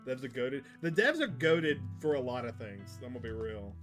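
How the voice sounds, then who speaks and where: A man's voice: medium pitch, sing-song, normal speed, a bit bright. A man hosting a gaming livestream, chatting casually and enthusiastically with his viewers. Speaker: livestream host. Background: game music.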